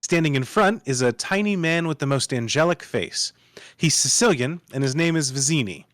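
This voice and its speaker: A man's voice: on the high side, lively intonation, moderate pace, a bit bright, no echo, studio quality. A man reading screenplay stage directions aloud as the narrator of a table read.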